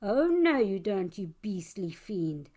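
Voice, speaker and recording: An earnest, brave young child from a storybook, played by a woman. The lines are animated and dramatic, spoken out with plucky conviction in rhyme. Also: medium pitch, takes it slowly. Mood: defiant. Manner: animated, dramatic rhyming delivery. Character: earnest, brave young child narrator battling a germ monster.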